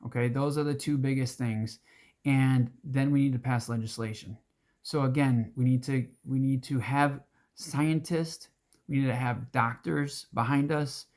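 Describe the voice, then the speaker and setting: Hoarse man giving an earnest talk. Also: medium pitch, darkish tone. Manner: earnest, rambling with restarts and fillers. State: hoarse, throat-clearing. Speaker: advocacy group organizer. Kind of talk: casual direct-to-camera talk.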